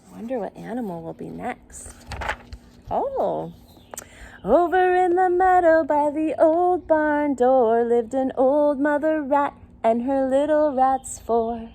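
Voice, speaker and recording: Woman, children's teacher, high-pitched, unhurried, sing-song picture book reading, simple child-directed phrasing. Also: expressive, gravelly, dark tone. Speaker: children's circle time teacher and story reader.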